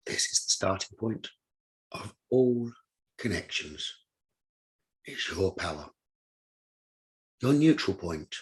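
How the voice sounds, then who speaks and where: A man leading a guided meditation as a teacher, with a husky voice, speaking in calm, instructive short phrases with pauses. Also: sits low, poor audio.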